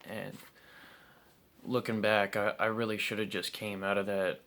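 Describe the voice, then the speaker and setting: A man's voice: on the low side, little pitch movement, unhurried, fairly bright tone. A young man telling his own story to camera in an unscripted, candid way, with frequent ums and restarts. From South Carolina, United States.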